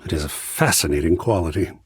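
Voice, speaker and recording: A man voicing a whimsical, effusive video game character who is art itself, raspy and gravelly, speaking in a grandiose, florid, theatrical way. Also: deep voice, fast, no room sound, clean studio sound. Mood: intrigued, fond. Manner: grandiose, florid, savoring words with dramatic swoops. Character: flamboyant, whimsical living embodiment of art, effusive and philosophical.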